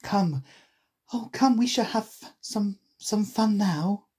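A woman's voice: medium pitch, slow pace, a little mellow, slightly rough, voice pushed up. A woman voicing a polite, curious young girl for a storybook, prim, earnest and easily indignant. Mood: eager.

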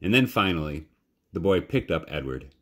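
A man reading a children's novel aloud as a library storyteller, with measured, dramatic pauses. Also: sits low, big pitch swings, a bit bright. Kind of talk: expressive children's audiobook-style narration.

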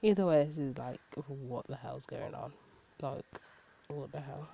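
A woman's voice: a bass voice, unhurried, creaky, dark tone. A woman giving blunt, incredulous reaction commentary, swearing and laughing as she talks. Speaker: video reaction host. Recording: dull lo-fi sound with faint noise.

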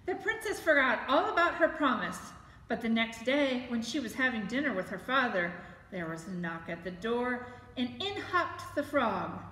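A woman's voice: a little high, big pitch swings, normal speed, sharp tone. An American woman reading a children's story aloud in storytime style, as a librarian would. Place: library room with bookshelves. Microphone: camera a few meters away. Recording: echoey room, distant camera, faint noise.

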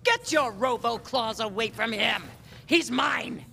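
A woman's voice: a little high, slow pace, gravelly, pushed and bright, long reverb tail, a little hiss or hum, exaggerated voice. A woman playing a brash, lustful shop owner in a video game, bossy and theatrical, with a commanding drawl. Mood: possessive, lustful.

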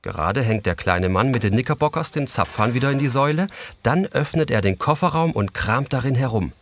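A man's voice: mid-range voice, expressive, a little fast, background noise. A man narrating a children's story as a scripted audio drama narrator.

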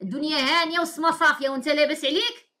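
Fast-talking Moroccan woman host. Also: high voice, steady tone, crowd noise. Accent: Moroccan Arabic. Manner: brisk, repeats caller's answers back. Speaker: host taking calls. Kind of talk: live call-in chat. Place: small room indoors.

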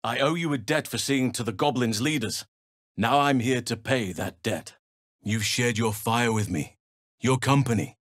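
An actor playing a wise, gentle and steadfast elf druid in a fantasy video game, speaking in measured, formal phrases. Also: male voice, mid-range voice, dry recording, clean studio sound, close to an everyday voice. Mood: earnest, measured. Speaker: video game companion character. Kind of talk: acted fantasy game dialogue.

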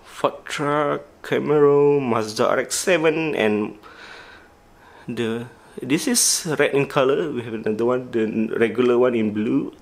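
A man casually walking through his diecast car collection, talking haltingly and listing models one by one. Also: mid-range voice, creaky. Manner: halting, with restarts and listed names. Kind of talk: casual toy collection walkthrough.

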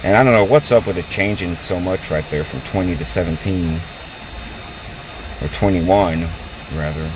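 A man's voice: low-pitched, normal speed. A man, a refrigeration technician casually walking viewers through a fault on a rooftop, filmed on a phone held close. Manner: relaxed, plain-spoken, talks to viewers as "y'all". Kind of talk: casual on-the-job walkthrough. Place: outdoors on a commercial rooftop by running equipment. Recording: loud machinery and traffic noise. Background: loud compressor and fan hum.

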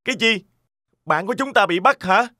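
A man's voice: sits high, animated, a little fast, sharp tone, dry recording, studio quality. A man playing a brave, earnest, forever-young flying boy in a dubbed children's cartoon. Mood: defiant.

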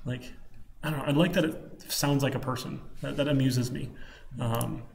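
A man with a Michigan accent and plenty of fry in his voice teaches programming informally. He rambles and thinks aloud at a middling pitch, with typing and faint noise behind him. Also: steady tone, moderate pace, darkish tone. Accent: Michigan Midwestern American. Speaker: programming lecturer. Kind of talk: informal live coding lecture. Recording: clicking keyboard, faint room noise. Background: keyboard typing.

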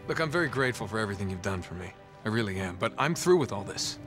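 An actor playing a weary, wisecracking American adventurer in a video game, casual and sardonic in manner. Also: male voice, on the low side, lively intonation, very fast, natural voice. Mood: bitter, defeated. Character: weary, wisecracking adventurer man, reluctant and disillusioned. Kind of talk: naturalistic video game cutscene acting. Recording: background music under the dialogue.

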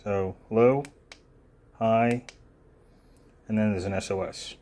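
A man with a lowish voice talks through a gadget demonstration. He is offhand and halting, thinking aloud in an unscripted, casual way while recording on a phone. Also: steady tone, mellow. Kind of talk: casual unscripted product demo. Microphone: phone held near the hands filming.

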